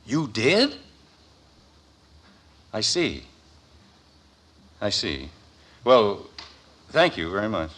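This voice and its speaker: An actor playing an exasperated, sarcastic middle-aged suburban father in a 1950s radio sitcom, with indignant blustering and a husky voice. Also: on the low side, sing-song, very slow, long pauses, a bit bright, full character voice. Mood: deflated.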